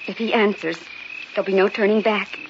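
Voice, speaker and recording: An actress playing an anxious, protective young newlywed wife, pleading earnestly in old-time radio horror style. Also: female voice, medium pitch, fairly even intonation, a little fast, noisy background, natural voice. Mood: afraid. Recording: muffled vintage radio broadcast with music.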